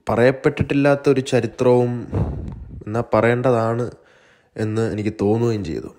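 A man giving a measured, explanatory documentary voice-over as the narrator of a video. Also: mid-range voice, fairly even intonation, a little mellow. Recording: music bed, some dull echoey passages. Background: music.

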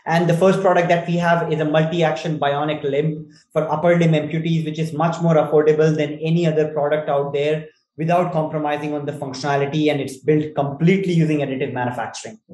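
A man's voice: fairly high pitch, level tone, a little fast, hardly any pauses, a little mellow. Young Indian-accented man, echoey room. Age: 20s. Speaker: startup CEO being interviewed. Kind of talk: explanatory interview talk. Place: small indoor room, video call. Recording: roomy, echoey video call.

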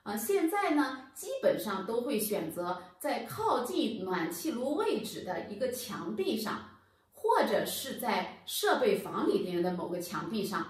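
A woman's voice: on the high side, big pitch swings, measured pace. A woman in her thirties giving a patient, step-by-step home-heating lesson, speaking straight to viewers. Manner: patient, explanatory, addresses viewers directly. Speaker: HVAC channel presenter. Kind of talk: instructional explainer. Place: plain indoor room against a bare wall. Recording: very echoey room, voice from far off.

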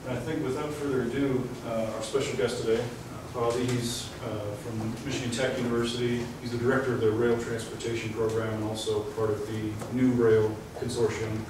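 A young man hosting a student club meeting, introducing a guest speaker in a halting, filler-heavy way in a lecture hall. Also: medium pitch, little pitch movement. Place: large lecture hall, indoors. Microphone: far camera, no mic seen. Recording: echoey hall, distant mic, background noise.

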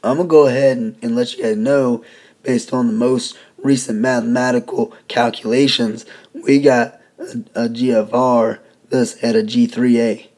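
Hoarse man lecturing casually. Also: mid-range voice, fairly even intonation, normal speed, darkish tone. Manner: folksy, conversational explaining. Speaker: teacher.

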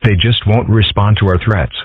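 Low-voiced radio newsreader played by a man. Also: normal speed, breathy edge, no echo. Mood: newsy. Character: formal radio news announcer. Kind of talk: news bulletin in comic dub. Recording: muffled narrow radio-like sound.

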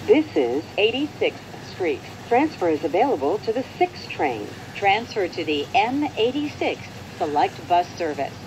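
American woman, transit announcer, lowish pitch, formal, lively intonation, vehicle noise in background. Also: measured pace, hardly any pauses. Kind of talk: scripted train announcements.

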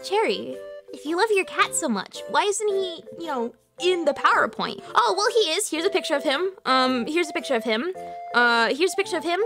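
Woman, twenties, high-pitched, bright, playful, attention-seeking, faint music. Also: lively intonation, normal speed. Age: 20s. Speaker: streamer. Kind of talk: casual debut stream self-introduction.

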